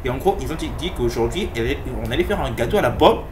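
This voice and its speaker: A man's voice: mid-range voice, lively intonation, very fast, noisy background. A man hosting a gaming video, giving casual let's-play commentary and translating for viewers, chatty and rambling, often repeating himself.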